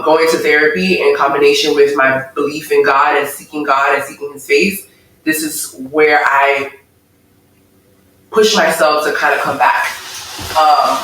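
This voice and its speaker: A woman's voice: sits low, a bit bright. Woman speaking earnestly in an echoey room. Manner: earnest, thoughtful, measured with pauses. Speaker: interview guest. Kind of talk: reflective personal testimony. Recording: echoey room, mic far off.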